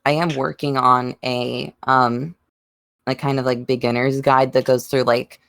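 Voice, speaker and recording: A man's voice: mid-range voice, level tone, measured pace, vocal fry, fairly bright tone, smooth. A man taking part in a casual group podcast chat as a panelist.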